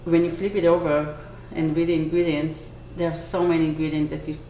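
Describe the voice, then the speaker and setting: A woman in her forties from Israel with an Israeli accent, a bakery owner answering interview questions earnestly, pausing to search for words. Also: deep voice, level tone, dark tone. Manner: earnest, with small restarts and searching pauses. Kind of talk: on-camera interview. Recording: echoey room, faint noise.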